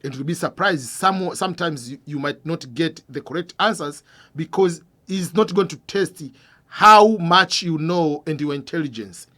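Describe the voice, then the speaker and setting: A man hosting a scholarship advice channel, explaining in an earnest, informal way, repeating points and putting questions to the viewer, with his voice dropping low and quiet at the end of phrases. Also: normal speed. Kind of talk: informal explanatory talk to camera.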